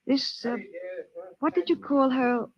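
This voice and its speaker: Imperious heiress photographer: high-pitched, condescending; muffled vintage radio drama. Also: female voice, unhurried, crowd noise. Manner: condescending, crisp. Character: haughty, imperious wealthy young woman photographer. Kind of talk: old-time radio adventure acting.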